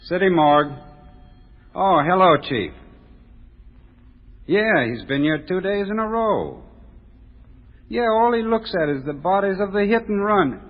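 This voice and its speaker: A chatty male morgue attendant from a 1940s radio drama. His voice is highish and animated, with long reverb around it on the dull old recording. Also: a little slow, pauses often. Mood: chatty, confiding. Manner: informal, gossipy.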